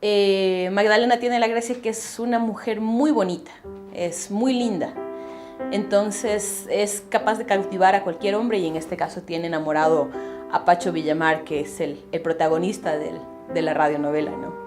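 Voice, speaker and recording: A woman's voice: mid-range voice, steady tone, normal speed. A woman giving casual interview commentary. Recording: guitar music behind the voice.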